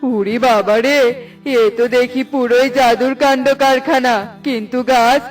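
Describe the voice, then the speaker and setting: A woman voicing a good-hearted, excitable male pigeon woodcutter in a cartoon, earnest and full of exclamations. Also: sits high, moderate pace, soft background music, lightly acted. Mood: astonished. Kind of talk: cartoon voice acting.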